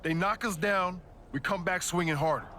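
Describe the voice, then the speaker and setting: A proud, defiant pro wrestler in a game cutscene. His voice is fairly high, bright and forceful, slangy and emphatic, over faint background noise. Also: moderate pace. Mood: resolute. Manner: slangy, emphatic motivational delivery. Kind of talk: video game cutscene dialogue.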